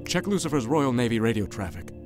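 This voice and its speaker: A man's voice: mid-range voice, big pitch swings, fast talker, music in the background, lightly acted. A man playing a kind-hearted, anxious seaman in an audio drama. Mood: worried.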